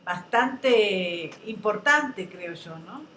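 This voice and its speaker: A woman's voice: medium pitch, animated, drawn-out words, pushed and bright, reverberant, a little hiss or hum. A woman from Argentina with an Argentine accent, interviewing an author in a conversational way that often ends in tag questions.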